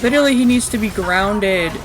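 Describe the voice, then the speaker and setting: A woman's voice: medium pitch, fairly quick. A young woman livestreaming a video game with casual, rambling commentary, blunt and slangy with some swearing. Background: game music.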